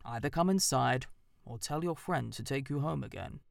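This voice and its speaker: A narrator voicing a stately old male owl who speaks in a formal, measured and aloof way. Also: mid-range voice, creaky, no echo, clean studio sound. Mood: grave, formal.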